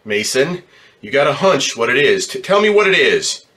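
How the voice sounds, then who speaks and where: A man's voice: mid-range voice, sing-song, moderate pace, bright, forceful tone. A man playing an embittered, distraught ambulance driver in slangy, stammering old-time radio drama style. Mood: nervous, hesitant. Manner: slangy working-class talk, stammering when upset.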